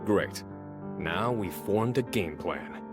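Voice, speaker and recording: A man's voice: sits low, expressive, takes it slowly. A man playing a pragmatic, sardonic skyfarer in a video game, with a dry, colloquial delivery. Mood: dry, skeptical. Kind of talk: scripted video game dialogue. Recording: loud orchestral music behind the voice.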